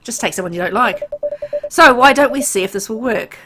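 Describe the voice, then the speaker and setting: A woman's voice: a little high, lively intonation, background noise, lightly acted. A woman voicing a grieving, vengeful father haunted by guilt, reading a visual novel aloud in a dramatic, brooding manner. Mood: cold, resolved. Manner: dramatic, brooding, deliberate phrasing. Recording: music under the voice.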